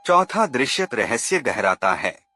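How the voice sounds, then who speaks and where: Guy narrating a spooky story, dramatic n slow, sharp bright voice, music behind. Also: mid-range voice, big pitch swings, no echo.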